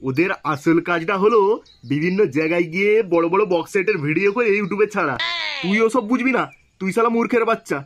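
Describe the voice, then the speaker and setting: Man doing a brash, high-pitched Bengali village lad. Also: quick pace, a crowd in the background, lightly acted. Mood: mocking. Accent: West Bengal. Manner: blunt, colloquial, exclamatory. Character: brash, scolding young village man, know-it-all older friend. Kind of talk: comedy cartoon dialogue. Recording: clearly noisy track with clicks.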